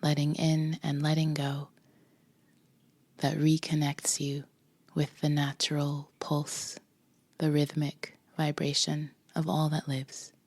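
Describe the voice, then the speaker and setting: A woman leading a guided meditation with a soft, breathy voice, gently instructing in short phrases with pauses between them. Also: low voice, completely flat delivery, no echo. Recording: music behind the voice. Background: music.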